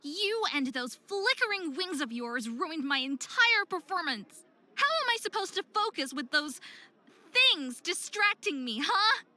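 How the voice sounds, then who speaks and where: A woman's voice: extremely high pitch, big pitch swings, measured pace, pushed and bright, heavily acted. A young woman voicing a hot-headed, distraught young faerie skater in a visual novel game, with a dramatic, emphatic delivery. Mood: furious. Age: young adult.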